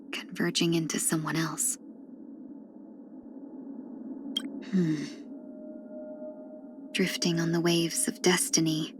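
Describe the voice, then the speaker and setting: A woman voicing a video game character, a gentle, wistful fox-woman who speaks softly and politely in measured, reflective lines. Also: on the low side, noisy background. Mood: melancholy, hushed. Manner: soft-spoken, measured, reflective, drifting into breathy near-whispers; polite and refined.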